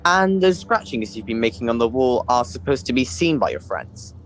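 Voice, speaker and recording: A man's voice: medium pitch, very animated, normal speed, slight background noise, natural voice, poor audio. A man playing a questioning, dutiful prison chief guard in an audio drama. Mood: sceptical.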